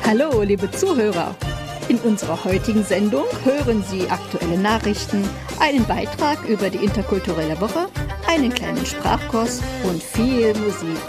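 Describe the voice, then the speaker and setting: A woman presenting a community radio magazine, reading her script in a clear, friendly way. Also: medium pitch, expressive, moderate pace, loud music behind the voice.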